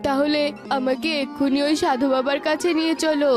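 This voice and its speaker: A woman's voice: high-pitched, steady tone, a little fast, close to an everyday voice. A woman voicing a worried, trusting bird farmer in a cartoon. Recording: music behind the voice.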